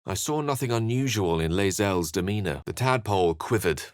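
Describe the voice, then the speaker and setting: Male actor as bookish fantasy game wizard, deep register, dry acoustics, wordy, theatrical, wry, articulate. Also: animated, normal speed, dry recording, natural voice. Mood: grave, narrating. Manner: articulate, wordy, literary phrasing. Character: eloquent, theatrical male human wizard companion, erudite and wry. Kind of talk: fantasy video game voice acting.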